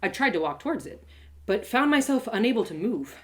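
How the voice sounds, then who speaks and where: A woman reading a first-person story aloud live, as its storyteller, in a dramatic, expressive way. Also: medium pitch, brisk. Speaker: author and storyteller.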